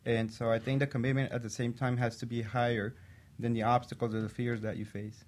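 A man who works as a physician assistant, a guest on a talk show, telling a personal story in an earnest, steady way. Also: mid-range voice, a little fast.

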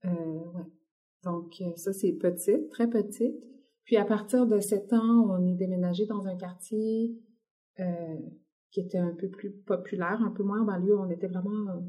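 Mellow-voiced Québécois woman, hesitant. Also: mid-range voice, steady tone, normal speed. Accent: Québécois French. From Quebec, Canada. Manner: hesitant, many fillers. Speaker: guest artist. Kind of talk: casual interview talk.

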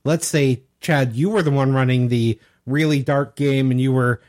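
A man's voice: medium pitch, fairly even intonation, normal speed, darkish tone. A man co-hosting a podcast in a casual, conversational style. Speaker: podcast co-host. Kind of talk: casual discussion.

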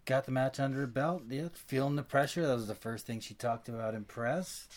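A man co-hosting a tennis podcast, giving brisk, matter-of-fact analysis that is full of numbers. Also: mid-range voice. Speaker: podcast co-host.

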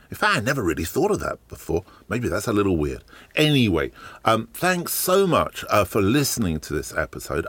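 A man with a low, slightly croaky voice hosts his podcast in a chatty, wry manner, his pitch swinging animatedly as he talks. The sound is dry and close, with no room echo. He fills his talk with ums and uhs. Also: a bit bright. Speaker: podcast host. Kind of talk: casual solo podcast monologue. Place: garden shed.

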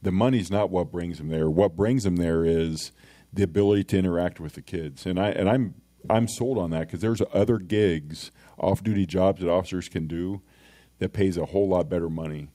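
Middle-aged cop guest, very low, dark dry voice, earnest, plainspoken anecdotes. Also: male voice, moderate pace, dry recording. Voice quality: deep voice. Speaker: school resource officer. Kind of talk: casual podcast interview.

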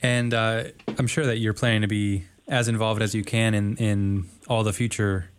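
A man hosts a community radio show from the studio. His voice sits a little low, and he asks questions in a measured way with some hesitation. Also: level tone, a little hiss or hum. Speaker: radio host.

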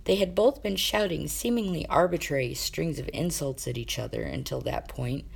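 A woman reading a scripted story aloud as an expressive narrator. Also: deep voice, normal speed, creaky, breathy edge. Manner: expressive, acts out dialogue. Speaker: amateur audiobook reader of fan fiction.

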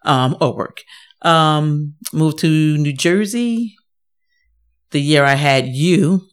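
A woman's voice: deep voice, takes it slowly. A woman in her fifties with a New York accent, telling her life story in a casual podcast chat with many ums. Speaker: guest, host's mother. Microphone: podcast microphone.

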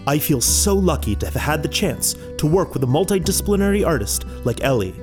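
A man's voice: on the high side, big pitch swings, brisk. A man giving a tribute that sounds scripted. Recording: guitar music behind the voice. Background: plucked guitar music.

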